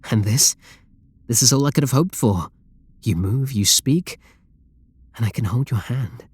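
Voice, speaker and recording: A man's voice: medium pitch, expressive, measured pace, husky, no echo. Dry, intimate British actor as obsessive male lover. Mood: fervent, confiding. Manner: doting, intimate, pleading endearments. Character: obsessive, possessive male game developer, lovestruck and controlling. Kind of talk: roleplay ASMR audio drama.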